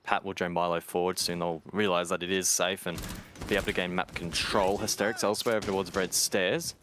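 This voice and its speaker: A man's voice: low-pitched, flat delivery, fairly quick, noisy background. A man giving live commentary and analysis on an esports match.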